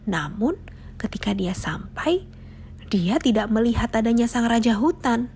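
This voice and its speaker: A woman's voice: fairly high pitch, animated, measured pace, darkish tone, a little hiss or hum. A woman narrating a scripted children's fable as a storyteller.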